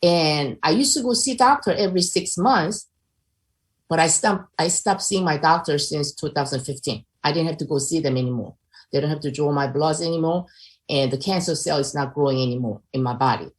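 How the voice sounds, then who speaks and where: A woman's voice: low voice, fairly quick. An older woman from South Korea with a Korean accent, chatting through a personal testimony on a video call with many fillers. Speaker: presenter.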